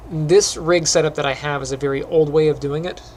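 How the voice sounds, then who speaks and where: A middle-aged man who teaches animation, chatting casually while he works, with a phlegmy voice, frequent throat clearing and lots of ums. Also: a little high, brisk, a little hiss or hum. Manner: relaxed, rambling, many ums. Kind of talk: casual screen-recorded tutorial chat.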